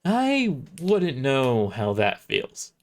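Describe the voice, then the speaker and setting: A man's voice: medium pitch, huge pitch swings, drawn-out words, exaggerated voice. Audio drama role: guarded woman merc, orphaned, sardonic and plain-spoken, read by a man. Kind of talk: amateur audio drama character reading.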